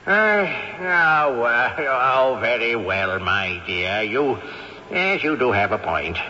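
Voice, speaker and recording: A crusty old ghost. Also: male voice, medium pitch, lively intonation, slow pace, pushed and bright, exaggerated voice. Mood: haughty drawl. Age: older. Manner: drawling, haughty, chuckling asides. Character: crusty old male ghost, wealthy great-grandfather. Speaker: ghost character. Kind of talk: 1970s radio drama acting. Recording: dull old radio broadcast, faint hiss, echo.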